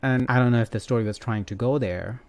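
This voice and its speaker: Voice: mid-range voice, quick pace, darkish tone. A podcast host chatting casually about a story, with an easygoing readiness to laugh.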